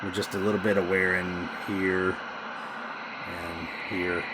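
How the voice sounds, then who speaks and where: A man casually demonstrating a radio he is selling, talking in an informal, halting, hands-on way. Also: low voice, flat delivery. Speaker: CB radio seller. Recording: noisy room with radio hiss.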